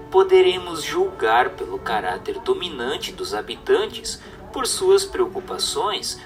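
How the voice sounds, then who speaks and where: A man's voice: medium pitch, fairly bright tone, far from the mic. A man narrating a scripted radio drama adaptation of a book, reading in an emphatic, declamatory way.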